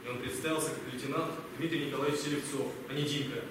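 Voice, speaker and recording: A man's voice: medium pitch, fairly even intonation, fast talker, lightly acted. A man playing a brusque but kindly wartime captain who gives clipped orders to women soldiers in an amateur stage play. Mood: stern, commanding. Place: large hall, voice far from microphone. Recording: echoey noisy hall, distant microphone.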